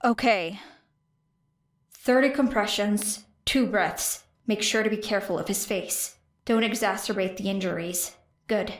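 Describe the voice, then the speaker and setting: A woman's voice: mid-range voice, a little slow, lightly acted. A woman voicing a wounded, stubborn girl fighter in an audiobook, talking in an urgent, curt, snappish way. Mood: focused, brisk.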